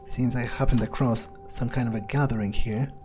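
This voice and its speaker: Mid-pitched male gamer, mellow, casual, hesitant, in-character musing, faint music behind. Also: steady tone, moderate pace. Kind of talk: in-character game playthrough narration, thinking aloud.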